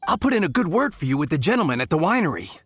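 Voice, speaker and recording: A fretful wine courier, his voice husky and fairly high, with a lively lilt. He fusses and frets as he talks. Faint game music plays behind. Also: very fast. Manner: fretful, fussy. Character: nervous man delivering wine, fussy and relieved.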